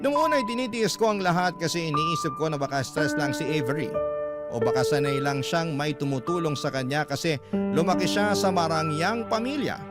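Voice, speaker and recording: A man, the host of a radio drama program, reading a first-person letter as steady scripted narration. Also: fairly high pitch, brisk. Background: loud music.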